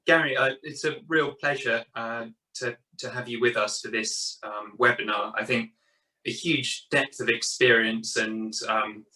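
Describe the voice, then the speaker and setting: Give me a bright-voiced man interviewer, hesitant, a bit off-mic. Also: medium pitch, fairly even intonation, measured pace, far from the mic, low-quality recording. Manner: hesitant, many ums and repeated words. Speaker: interviewer, board chair. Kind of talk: hosting a webinar interview. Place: home study with bookshelves. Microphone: webcam on video call.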